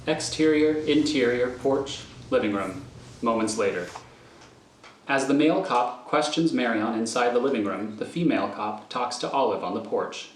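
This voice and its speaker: A man's voice: mid-range voice, lively intonation, normal speed. A man narrating a script, reading out scene headings and stage directions. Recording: echoey room, faint noise.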